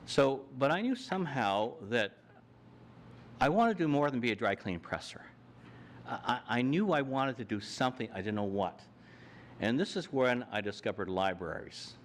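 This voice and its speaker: A man's voice: mid-range voice, animated, normal speed, a little hiss or hum. An older man giving a plainspoken personal talk on a panel, walking through his slides with frequent restarts. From Canada. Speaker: panel speaker. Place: hall with audience.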